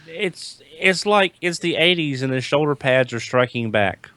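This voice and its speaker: A man talking casually as a podcast panelist about toys, often restarting his sentences in a halting way. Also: fairly high pitch, lively intonation, a bit bright, no room sound. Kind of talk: casual group chat reviewing toys.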